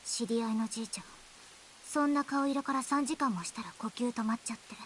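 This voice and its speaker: A woman plays a blunt young girl from a Japanese visual novel. Her voice sits fairly high and she talks fast, in a curt but polite way, keeping strangers at a distance. Clear hiss runs behind the streamed game audio. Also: a bit bright, close to an everyday voice. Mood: curt, serious. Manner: curt, casually polite speech.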